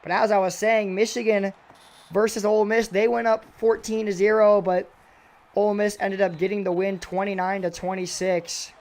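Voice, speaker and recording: Excitable high-voiced young male commentator. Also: moderate pace. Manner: excitable, voice jumps high and loud on big plays. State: raspy voice. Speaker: video game football commentator. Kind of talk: play-by-play commentary.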